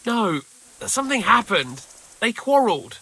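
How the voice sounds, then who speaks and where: A man playing a shaken, bitter raid survivor in a video game cutscene, halting and distressed. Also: high-pitched, very animated, vocal fry, sharp tone, slightly rough, exaggerated voice, poor audio.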